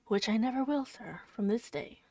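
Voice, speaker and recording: A woman voicing a devoted young woman from a novel, gentle and composed, with soft, tender speech. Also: a little high, animated, normal speed, no echo.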